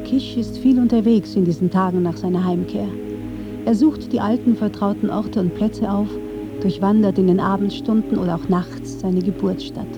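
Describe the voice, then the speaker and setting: A woman narrates a scripted radio documentary with measured, factual delivery. Her voice sits at a medium pitch, slightly dulled by the recording, with music clearly behind it. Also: steady tone. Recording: slightly dull, band-limited sound.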